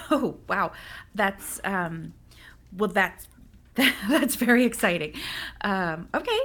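A woman's voice: mid-range voice, expressive, slow, fairly bright tone. A woman playing a flustered, giggly casting director. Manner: flustered, giggly, stumbling over words. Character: nervous woman casting director, awkward, self-deprecating. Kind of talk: comedic audio drama.

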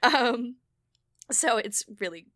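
A woman hosting a casual podcast interview over a video call, enthusiastic and quick to laugh. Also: a little high, lively intonation, no room sound, clean studio sound. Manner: warm, enthusiastic, laughs often. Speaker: podcast host and audio drama creator.

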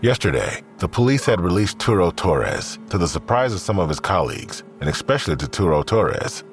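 A man's voice: low voice, very animated, fairly quick, faint music behind. A man reading scripted narration for an audio drama.

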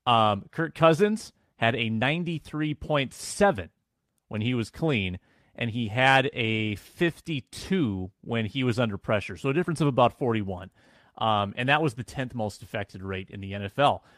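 A man, a sports talk show producer, calmly explaining statistics. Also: mid-range voice, dry recording, clean studio sound. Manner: measured, explains stats. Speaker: producer and co-host.

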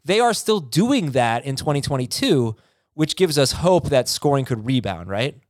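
A man's voice: medium pitch, very animated, moderate pace, no echo, clean studio sound. A man hosting a casual sports podcast, chatty and opinionated, steering topics and cracking jokes. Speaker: podcast host.

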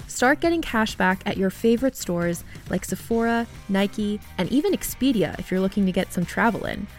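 A woman reading a scripted podcast advertisement as an announcer. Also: mid-range voice.

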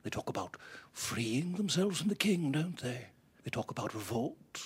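An actor playing a stern British naval captain in an audio drama, imperious and threatening, with a husky voice. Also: male voice, mid-range voice, sing-song, normal speed. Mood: menacing.

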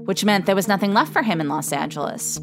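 A woman reading scripted true-crime narration in a measured storytelling manner. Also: mid-range voice, lively intonation, music in the background. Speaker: documentary narrator.

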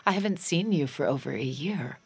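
Guarded, dryly wry daughter for intimate audio drama, a low register and gravelly fry, understated delivery. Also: female voice, lively intonation, moderate pace, darkish tone, heavily acted. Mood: earnest, vulnerable. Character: guarded, wry adult daughter, a recovering addict longing for closeness. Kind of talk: naturalistic audio drama acting with confiding narration.

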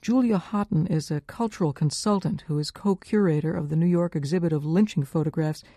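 A woman's voice: on the low side, brisk, warm tone, dry recording, clean studio sound. A woman presenting a scripted radio documentary as host and narrator. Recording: music playing underneath. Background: music.

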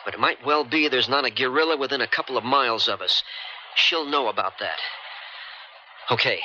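A wary, daring boatman in a 1970s radio thriller. He talks in a guarded, halting way at a middling pitch. The old broadcast is dull and clearly noisy. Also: pushed and bright. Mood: tense, guarded. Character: wary, daring boatman, fond lover, leading a mission. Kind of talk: 1970s American radio drama acting.

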